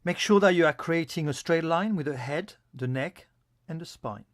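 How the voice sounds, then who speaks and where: A man giving a guided yoga nidra meditation as an instructor, in a calm, soothing, instructional manner. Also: on the high side, normal speed, no echo, clean studio sound.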